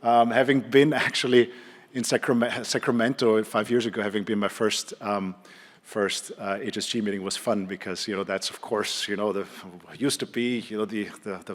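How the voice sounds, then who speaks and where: Low-voiced Austrian man in a reverberant hall. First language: German. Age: middle-aged. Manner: halting, repeats words, many hesitations. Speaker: conference speaker, neurologist and clinical trialist. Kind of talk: informal conference presentation. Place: conference hall, speaking to an audience.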